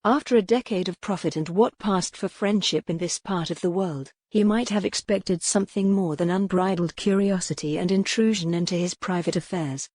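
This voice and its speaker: A woman's voice: lowish voice, normal speed, hardly any pauses, a little mellow, no echo. A woman reading a historical novel as scripted, dramatic audiobook narration.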